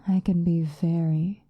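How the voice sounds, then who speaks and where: A woman playing a possessive vampire lover in an ASMR roleplay, soft and often whispering close to the microphone, coaxing with condescending affection and a hint of menace. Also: lowish voice, husky, no echo, dull audio, exaggerated voice.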